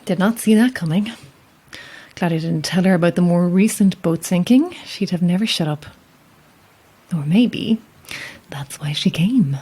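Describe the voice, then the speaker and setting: Dry, low-voiced woman lighthouse keeper. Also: a little slow, long pauses, dark tone, slightly rough. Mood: uneasy. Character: guarded, sceptical lone lighthouse keeper, woman, wryly amused. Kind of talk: naturalistic audio horror drama acting.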